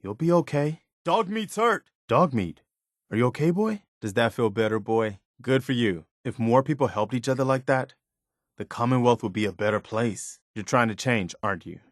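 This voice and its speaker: An actor playing an earnest, loyal American militia companion in a video game, speaking in an easygoing, plainspoken way. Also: male voice, medium pitch, expressive, moderate pace, no room sound, studio quality, close to an everyday voice. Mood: worried. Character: earnest, principled militia companion, adult man, loyal and caring.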